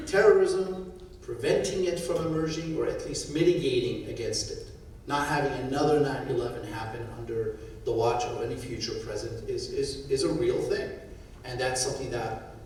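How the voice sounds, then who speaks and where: A man's voice: medium pitch, slight background noise. A middle-aged man from Syria speaking without notes on a conference panel, thoughtful and given to restarting his sentences. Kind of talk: unscripted panel talk. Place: conference hall. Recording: echoey hall, from far off.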